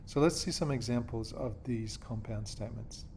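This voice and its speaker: A man from Australia teaches a lesson in a patient, step-by-step way. His voice sits at a middle pitch and he keeps checking that his students follow. A faint background noise runs under the recording. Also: moderate pace, a little mellow. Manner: patient, checks in with "okay?" and "yeah?". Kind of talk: classroom lesson explanation.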